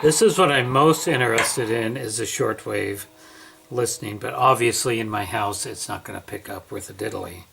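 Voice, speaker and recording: A man's voice: fairly low pitch, moderate pace, a bit bright. A man giving a casual, unscripted hands-on product review, thinking aloud as he works indoors at home. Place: inside a house, under a kitchen-type cupboard. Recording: clear radio static and background hiss.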